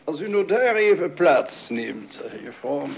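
A bumbling, polite doctor for a radio comedy. His fairly high voice swings widely as he hesitates and stammers. The old recording sounds muffled. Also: very animated, unhurried, a little hiss or hum. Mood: flustered. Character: fussy, bumbling, awkwardly polite male doctor.

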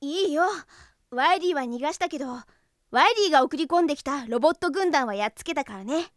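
A woman playing an earnest, gentle heroic robot boy in an anime audio drama, speaking politely and reassuringly. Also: high-pitched, animated, quick pace, no room sound, clean studio sound. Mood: upbeat, reassuring. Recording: music behind the voice.

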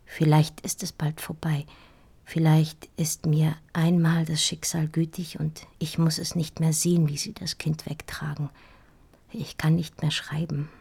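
An actress playing a heartbroken, dying woman in an intimate radio drama monologue, speaking in halting, feverish, anguished whispers with repeated words. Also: low voice, little pitch movement, gravelly, dark tone, slightly rough, full character voice. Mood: weak, tender farewell. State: feverish, weak, grieving. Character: heartbroken dying woman writing a farewell love letter.

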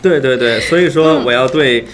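A man's voice: medium pitch, moderate pace, noisy background. A man, a voice actor, chatting casually in a group in a playful, eager way and often repeating his words. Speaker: voice actor guest. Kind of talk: casual group chat about recording an audio drama.

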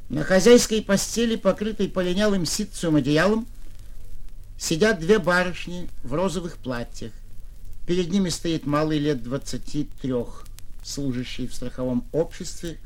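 A man reading a short story for radio as an actor, in expressive theatrical narration. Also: a little high, poor audio.

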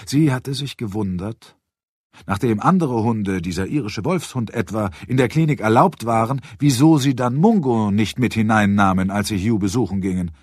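A man narrating a literary audiobook with expressive, dramatic phrasing. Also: mid-range voice, husky, no echo, studio quality. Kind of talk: scripted literary narration of a crime novel.

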